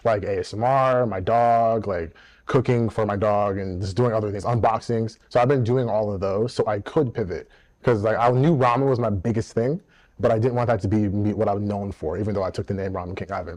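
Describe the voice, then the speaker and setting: A young man with a deep voice, chatting upbeat and animated as a guest in a casual interview, often laughing. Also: fairly quick. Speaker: guest content creator.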